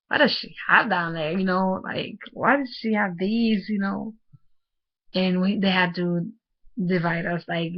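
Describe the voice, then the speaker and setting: Young woman from Haiti, vlogger, flat hesitant delivery full of fillers, muffled lo-fi sound. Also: lowish voice. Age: young adult. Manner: soft-spoken, halting, many fillers and restarts. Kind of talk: personal storytelling vlog. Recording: muffled lo-fi audio.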